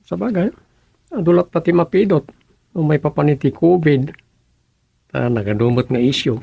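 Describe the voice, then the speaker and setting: A man with an Ilocano accent playing a middle-aged village councilman in a radio comedy drama, with a gravelly, husky voice. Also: a little high, normal speed, no room sound, phone-quality sound. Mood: musing, grumbling.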